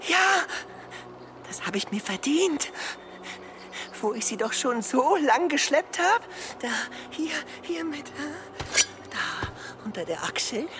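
A woman's voice: high-pitched, big pitch swings, slow, noisy background, exaggerated voice. An actress playing a cruel, gleefully sadistic woman in a German radio drama. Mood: gleeful, menacing.

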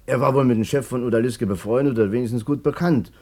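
A man's voice: mid-range voice, fast talker, dark tone, lightly acted. An actor playing a chatty, cocky Berlin businessman who tells of his amorous past in a colloquial, self-justifying way, in a 1950s German radio drama. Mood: explaining eagerly.

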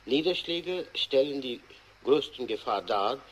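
Grave male expert played by an actor for radio drama; slow, deliberate delivery, medium pitch, slight hiss. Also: lively intonation, natural voice, low-quality recording. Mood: sober, grave. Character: sober male expert reporting radiation dangers. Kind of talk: 1980s radio drama, measured scripted report. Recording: faint background hiss.

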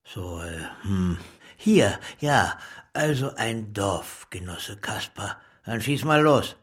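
An actor playing a pompous, bullying party minister in a puppet show, blustering and lecturing with grand proclamations. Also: male voice, lowish voice, expressive, takes it slowly, breathy edge, exaggerated voice. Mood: gruff. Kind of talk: radio play acting.

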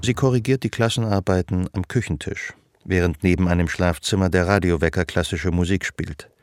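A man narrating a literary radio drama in a scripted, measured storytelling style, with a gravelly voice. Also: low voice, fairly even intonation, close to an everyday voice.

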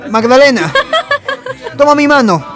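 Radio drama role: brash young Chilean suitor on horseback, very high-pitched, emphatic, over clear music. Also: male voice, animated, a little slow. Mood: declaring love. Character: brash young horseman, boastful, ardent sweet-talker.

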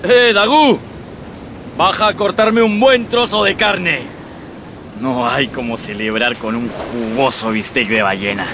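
A boisterous officer, voiced by a man in a radio play, calling out loud and hearty. The voice is mid-pitched and full. Clear background noise surrounds him. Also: measured pace, lightly acted. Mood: gloating, hearty. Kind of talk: radio drama acting.

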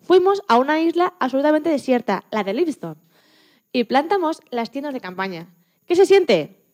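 Woman playing a high-voiced, chatty Spanish scientist. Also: normal speed. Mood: enthusiastic. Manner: expressive, chatty, self-correcting. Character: chatty, proud, enthusiastic woman Antarctic scientist. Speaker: interview guest.

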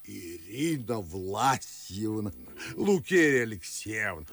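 An actor playing a pompous, garrulous older Moscow nobleman, declaiming husky-voiced in old-fashioned theatrical verse for a radio play. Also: on the low side, very animated, slow, a bit bright, heavily acted. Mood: gushing.

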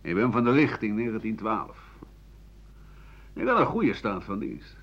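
An actor playing an embittered aging French doctor and war veteran, rambling sardonically through his memories in a radio drama, his voice husky and rough-edged. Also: lowish voice, sing-song, a little slow, fairly bright tone, exaggerated voice. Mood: proud, wry. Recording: dull 1980 radio play recording.

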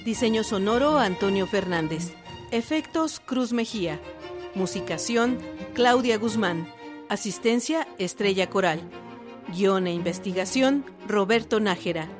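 A woman's voice: mid-range voice, animated, unhurried, music in the background. A woman reading scripted narration and credits for a historical radio production.

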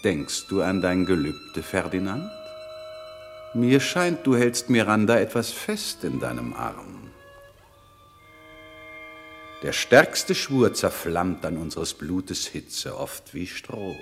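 Commanding sorcerer lord, swooping and stately. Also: male voice, deep voice, sing-song, takes it slowly, gravelly, soft background music, heavily acted. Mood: stern, admonishing. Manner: declamatory, verse delivery. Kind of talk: classical radio play acting.

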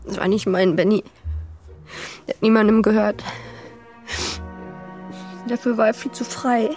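An actress playing a streetwise, distrustful young runaway haunted by grief, blunt and colloquial, in a German radio drama. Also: female voice, medium pitch, steady tone, a little slow, darkish tone, faint music behind, natural voice. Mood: wistful.

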